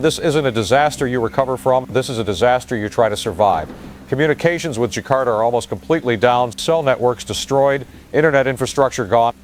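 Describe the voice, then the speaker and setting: A man's voice: medium pitch, close to an everyday voice. An actor playing a shaken male field correspondent reporting in an urgent, grave manner. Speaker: news correspondent. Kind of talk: audio drama news report.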